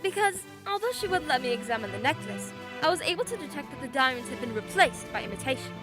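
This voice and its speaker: A woman plays a shrewd, self-assured lady detective in a radio play. Her voice is high and very lively, with formal, precise, theatrical delivery and faint music behind it. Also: fast talker. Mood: assured, brisk. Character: confident, shrewd female detective, brisk and precise. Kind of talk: stage-style radio play acting.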